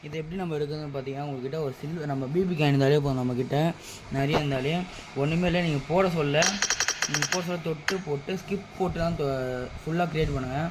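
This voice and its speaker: A man's voice: a little high, steady tone, quick pace. A man giving a casual, insistent, repetitive game tutorial over his play. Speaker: gaming video creator. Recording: very noisy home recording with clicks.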